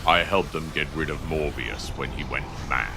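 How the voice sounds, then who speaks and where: A man playing an ancient exiled cult leader in an audio drama, grandiose, menacing and embittered, with a deep gravelly voice. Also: steady tone, music in the background. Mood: bitter. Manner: slow, grandiose, commanding.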